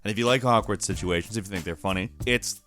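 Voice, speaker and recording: A man's voice: on the low side, fast, a bit bright, slight background noise. A man hosting a gaming countdown, narrating casually with wry, offhand asides. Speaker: host of a gaming countdown show.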